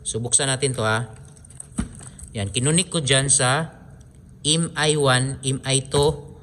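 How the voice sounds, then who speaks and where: A man's voice: medium pitch, slow pace, fairly bright tone. A man with a Filipino accent giving an informal hands-on tutorial, explaining step by step and often repeating himself as he points things out. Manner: repetitive, step-by-step explaining with frequent pointing phrases. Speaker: DIY electronics tutorial host. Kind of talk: informal hands-on wiring demonstration.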